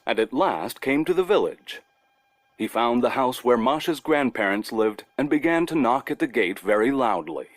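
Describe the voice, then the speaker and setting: A man narrating a scripted children's story as a storyteller, expressive and deliberate in his wording. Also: medium pitch, no echo.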